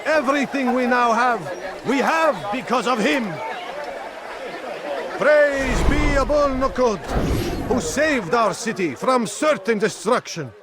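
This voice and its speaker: An actor playing a grandiose town crier who proclaims reverent, drawn-out praise of his patron in a video game. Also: extremely high pitch, expressive, a loud crowd, very noisy, exaggerated voice. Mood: fervent praise. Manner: declamatory, reverent, drawn-out delivery.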